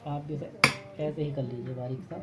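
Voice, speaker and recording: A man hosting a repair tutorial, talking through each step at his workbench, briskly and with instructive repetition. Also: on the high side, steady tone, dull audio, loud music behind the voice. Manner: brisk, instructive, repeats "fatafat" and "theek hai". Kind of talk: hands-on repair demonstration.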